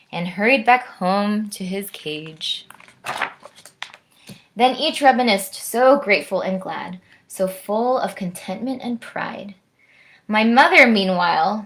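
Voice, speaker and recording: An American woman reading aloud and chatting to young children in an expressive storytime manner. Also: mid-range voice, gravelly. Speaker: orchestral musician, guest storytime reader.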